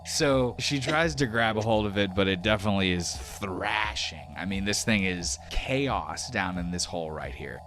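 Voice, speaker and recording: A man's voice: low-pitched, expressive, normal speed, hardly any pauses, fairly bright tone, soft background music. A man narrating a tabletop game as game master, in a casual, descriptive way that mixes storytelling with rules talk. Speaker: game master of a tabletop role-playing podcast.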